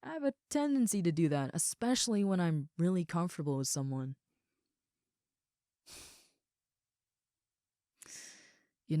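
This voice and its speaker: Woman doing a sweet caring ogre girl roommate, real mellow low voice, rambly n reassuring, intimate roleplay. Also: no room sound, studio quality, natural voice. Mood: earnest, rambling. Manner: soft, sincere, rambling, reassuring. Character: caring, affectionate young female ogre roommate. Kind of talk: intimate comfort roleplay audio.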